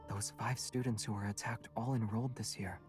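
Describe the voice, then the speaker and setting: An actor playing a calm, confident young male hunter in a video game, composed and understated. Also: on the low side, brisk, over music, close to an everyday voice. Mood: calm, serious. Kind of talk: scripted game voice acting.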